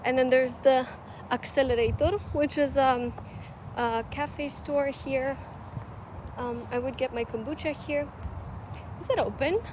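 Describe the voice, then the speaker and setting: A woman's voice: sits high, fairly even intonation, a little slow, long pauses. Chatty young woman vlogging outdoors. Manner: chatty and rambling, with many fillers. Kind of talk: casual travel vlog. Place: outdoors in a city, partly riding a bike. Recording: outdoor street noise and traffic. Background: city ambience and passing vehicles.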